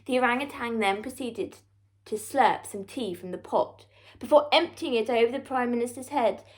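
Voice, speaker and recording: A woman storyteller reading a children's book aloud with expressive, theatrical narration and deliberate emphasis. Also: a little high.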